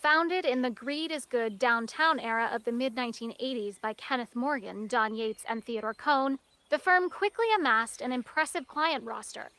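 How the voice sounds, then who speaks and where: An actress playing a polished, serious TV news reporter, delivering a scripted report with measured emphasis. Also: female voice, high-pitched, bright, forceful tone.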